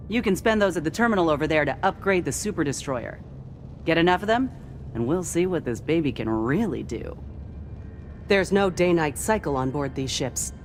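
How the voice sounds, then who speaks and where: A woman's voice: sits low, darkish tone. A woman playing an earnest, devoutly patriotic starship crew chief in a video game, speaking with reverence and a sense of duty. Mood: helpful, upbeat, then proud, devout. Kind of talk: scripted video game character lines.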